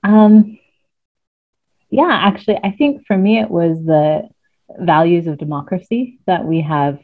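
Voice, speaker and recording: A woman from the United States with a mixed American and British accent, speaking thoughtfully as a guest coach, often pausing with ums. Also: fairly low pitch. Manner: thoughtful, hesitant with frequent ums. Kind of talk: casual interview chat. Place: at home near Cardiff. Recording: tinny phone-like remote call.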